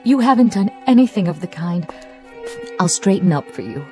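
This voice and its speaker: A woman's voice: medium pitch, expressive, normal speed, mellow, soft background music, natural voice. A woman acting in a radio drama as a devoted, solicitous housekeeper with a tender, deferential manner. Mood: tender concern.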